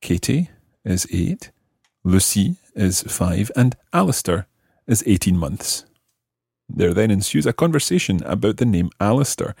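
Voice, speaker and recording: A man presents a language lesson in a low, husky voice. He explains phrase by phrase and repeats things deliberately. The sound is dry and close. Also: very animated, a little mellow, no echo. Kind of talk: explaining French grammar phrase by phrase.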